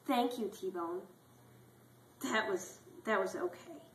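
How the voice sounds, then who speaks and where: A woman's voice: medium pitch, takes it slowly, roomy sound, slight background noise, close to an everyday voice, low-quality recording. A woman voicing a female spaniel who teaches an obedience class in a storybook, giving instructions and praise. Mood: lukewarm. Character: female spaniel obedience teacher, upbeat, openly favoring pets.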